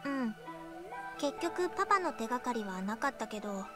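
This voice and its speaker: A woman's voice: a little high, big pitch swings, fast talker, over music. A woman playing a mysterious, mischievous and affectionate young girl in a Japanese visual novel. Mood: shy, surprised.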